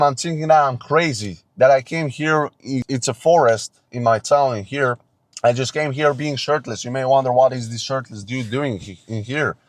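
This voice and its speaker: A man's voice: a little high, no room sound. Young man, tired after no sleep, intense unscripted pep talk, close dry sound. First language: Romanian. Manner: earnest, intense, repeats himself, preachy. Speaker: self-improvement vlogger. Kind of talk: unscripted motivational monologue. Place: forest outdoors at dawn.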